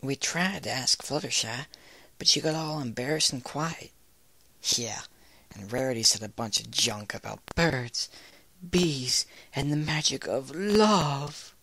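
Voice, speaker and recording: A man's voice: mid-range voice, lively intonation, measured pace, gravelly, bright, forceful tone, no room sound, pitched above the performer's own voice, heavily acted. A man voicing curious, blunt young girl ponies in a fan fiction reading, eager and plain-spoken. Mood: matter-of-fact. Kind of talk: fan fiction read aloud in character.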